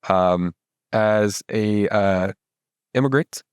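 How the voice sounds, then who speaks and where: A man's voice: on the low side, extremely slow, smooth, no room sound. A man hosting an interview, asking admiring questions. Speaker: interview host.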